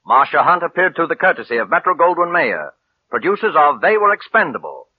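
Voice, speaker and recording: High-pitched announcer, tinny old radio. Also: male voice, very animated, fairly quick, breathy edge. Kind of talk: 1940s radio closing announcement. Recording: tinny vintage radio broadcast, music behind.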